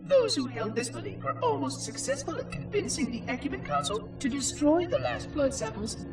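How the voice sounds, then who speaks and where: An actor playing a small floating machine caretaker who talks in a precise, formal and oddly cheerful way. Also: male voice, very high voice, sing-song, moderate pace, far from the mic, over loud music. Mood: solemn. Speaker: monitor of an installation. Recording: game score playing behind.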